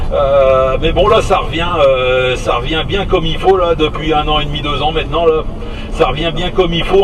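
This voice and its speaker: A man chatting casually as a CB radio operator, in a hesitant, rambling way. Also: on the high side. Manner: hesitant, rambling, tag words at phrase ends. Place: inside a moving vehicle. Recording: distant mic, steady vehicle noise.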